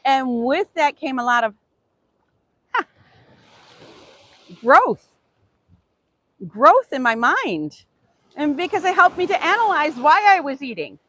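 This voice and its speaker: High-voiced woman, native Hungarian speaker. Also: pushed and bright. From United States, Hungarian immigrant family. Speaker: English teacher giving a motivational self-improvement talk. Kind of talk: informal motivational talk with rhetorical questions.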